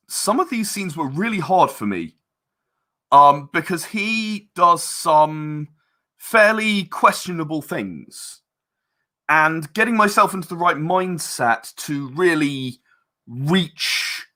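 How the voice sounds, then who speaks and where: A man being interviewed as a guest, explaining his creative work in a casual, halting way and repeating words as he thinks. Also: fairly high pitch, pushed and bright. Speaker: interview guest, audio drama director and voice actor.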